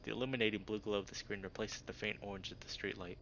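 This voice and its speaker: A man's voice: on the low side, fairly even intonation, quick pace, fairly bright tone, highs cut off, slight background noise. A man reading as a reserved, dryly wry furry protagonist narrating a visual novel in first person. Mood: measured, wry.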